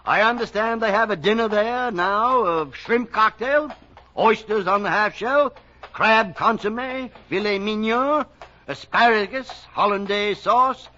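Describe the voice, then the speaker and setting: Genteel 1890s suitor, excitable, forceful bright tone, 1940s radio sketch style, dull vintage recording. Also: male voice, high-pitched, unhurried. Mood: eager.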